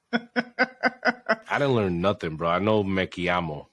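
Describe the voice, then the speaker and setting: A young father co-hosting a podcast in casual chat, swinging from low muttering to sudden shouts and swearing a lot. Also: huge pitch swings, slow pace, no room sound. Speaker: podcast co-host.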